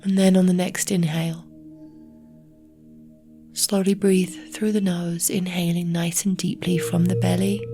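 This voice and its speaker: A woman leads a guided relaxation in a low, even voice. She speaks softly and slowly, often close to a whisper, leaving long pauses. Meditation music with singing bowls plays clearly behind her. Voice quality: soft, breathy, often near whisper. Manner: slow, soothing, with long pauses. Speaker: yoga nidra meditation guide.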